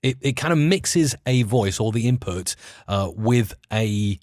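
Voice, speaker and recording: A man's voice: medium pitch, expressive, measured pace, darkish tone, no echo, studio quality. A man speaking as an interview guest, explaining his craft casually and with enthusiasm, hesitating as he searches for words. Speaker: interview guest, audio producer.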